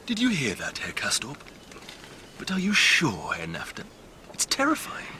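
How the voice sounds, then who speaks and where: An actor playing a sociable, inquisitive gentleman who likes to needle others, in a radio drama. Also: medium pitch, huge pitch swings, moderate pace, a little hiss or hum, exaggerated voice. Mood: anxious, eager.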